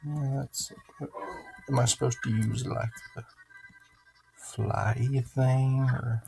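A man's voice: mid-range voice, steady tone, slow, gravelly, darkish tone. A man giving casual, rambling gameplay commentary, swearing and muttering to himself as he plays. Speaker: gaming video host. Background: video game music.

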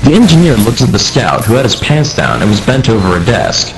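A man's voice: fairly low pitch, sing-song, fairly quick, gravelly, over music, rough recording. A man reading a comic story aloud to others in an online game. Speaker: reader of fan fiction.

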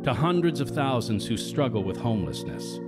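A man's voice: medium pitch, big pitch swings, fairly quick. A man announcing a radio drama in scripted, dramatic narration. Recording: music playing behind the voice. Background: prominent guitar music.

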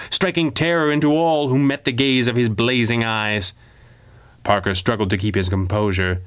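A man narrates an audiobook in a dramatic, scripted storytelling style. His voice sits at a medium pitch and suits pulp adventure fiction. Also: a bit bright, smooth.

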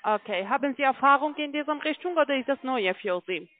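A non-native German-speaking woman with a foreign accent giving a telephone sales pitch as a call-centre agent, often checking in as she goes. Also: lively intonation, fairly quick, no echo. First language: not German. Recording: muffled, tinny phone line.

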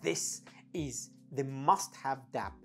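A woman's voice: rumbling low, takes it slowly, soft background music. A woman giving a casual, opinionated gear review, speaking emphatically as a reviewer. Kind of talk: casual product review talk.

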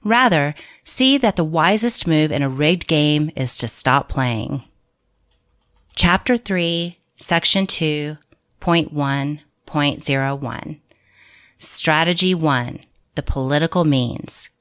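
A woman reading scripted audiobook narration of a political manual in a measured, deliberate, clearly phrased way. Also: low-pitched, a bit bright.

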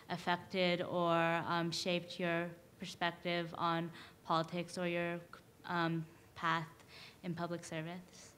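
Young adult woman, lowish pitch, polite forum question, stand mic, slight background noise. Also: fairly bright tone. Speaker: student audience questioner. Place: auditorium audience floor. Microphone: stand microphone.

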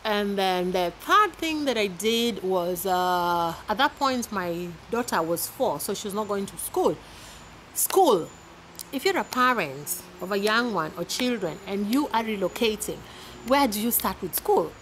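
A woman in her thirties from Kenya with a Kenyan accent, giving casual advice to camera in a chatty, animated way, filmed outdoors in a garden. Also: mid-range voice, measured pace, slight background noise, poor audio. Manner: chatty, animated, laughs often, with repeated restarts. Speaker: vlogger. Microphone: camera at arm's length.

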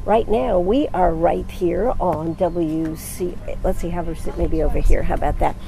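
An older woman hosting a live radio interview outdoors at a golf course, chatty and unhurried, with plenty of fillers, into a handheld mic. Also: lowish voice, creaky, low-quality recording.

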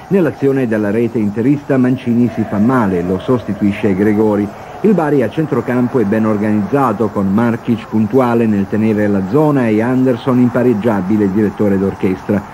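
A man's voice: on the low side, mellow. A man reading a scripted football match report as a television sports reporter. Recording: noisy archive TV broadcast.

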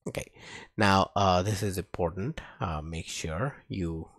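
A man narrating a coding tutorial as an instructor, speaking haltingly as he types along, with a husky voice. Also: low-pitched, a bit bright, no echo, studio quality. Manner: halting, talks while typing. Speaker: programming instructor.

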